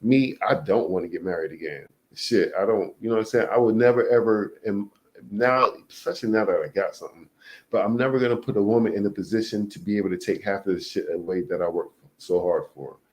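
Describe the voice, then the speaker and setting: Man, early 40s, low voice; loose, profane, rambling vlog delivery. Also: quick pace, poor audio. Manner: rambling, frank, profane, many fillers. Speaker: vlogger. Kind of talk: casual monologue.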